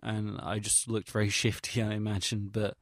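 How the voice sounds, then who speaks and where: A young English man with a fairly low voice talks in a casual audio log, recorded close and dry. He rambles hesitantly through his recollections, using slangy, sweary, self-deprecating wording. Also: monotone, normal speed, no echo. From England. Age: young adult. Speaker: vlogger.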